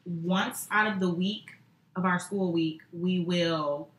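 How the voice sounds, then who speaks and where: A woman's voice: lowish voice, fairly even intonation, takes it slowly, slight background noise. A woman in her twenties chatting casually as a guest on a podcast. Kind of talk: casual chat. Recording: roomy, echoing indoor sound.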